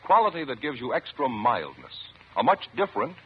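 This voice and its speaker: A man's voice: mid-range voice, wildly swooping pitch, brisk, breathy edge. A man announcing a 1950s radio commercial with an emphatic sales delivery. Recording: muffled vintage radio recording.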